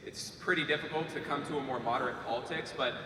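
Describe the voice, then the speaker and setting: A man's voice: mid-range voice, fast talker. A man asking a question from the audience in a casual way, with lots of "like" and "um". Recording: echoey hall, faint noise.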